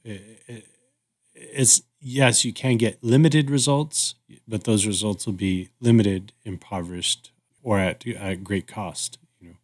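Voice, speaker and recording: A man co-hosting a reflective conversation, speaking thoughtfully and haltingly as he searches for words. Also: fairly low pitch. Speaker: podcast host.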